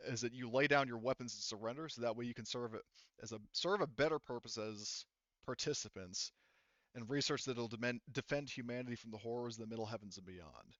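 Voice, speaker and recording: A man's voice: mid-range voice, fairly quick, a bit bright, no room sound, highs cut off, close to an everyday voice. A man voicing a cold, condescending, unrepentant scientist villain in a tabletop roleplaying game, speaking formally.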